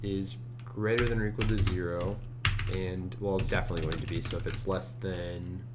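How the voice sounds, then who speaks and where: Low-voiced man thinking aloud over typing. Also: normal speed. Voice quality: low morning voice. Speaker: competitive programmer narrating a screencast. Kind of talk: casual think-aloud commentary while coding. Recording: steady hum and keyboard typing noise. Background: computer keyboard typing, hum.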